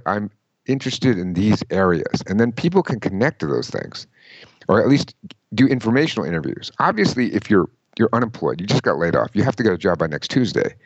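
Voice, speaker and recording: A man giving career advice in an interview, emphatic and lively, often quoting people in mock voices. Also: fairly low pitch, no echo, lo-fi sound. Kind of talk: conversational interview.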